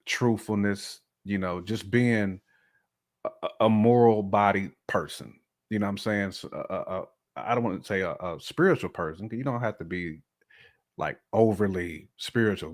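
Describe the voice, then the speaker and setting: This is an American man with a fairly deep voice. He talks in a colloquial, conversational podcast style. His delivery is emphatic, with regular check-ins to make sure he is followed. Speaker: podcast guest or co-host discussing relationships. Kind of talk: casual conversational podcast talk.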